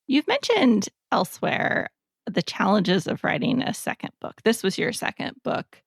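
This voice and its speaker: Woman podcast interviewer with very creaky voice. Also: mid-range voice, big pitch swings, unhurried, no echo, studio quality. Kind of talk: conversational interview questions.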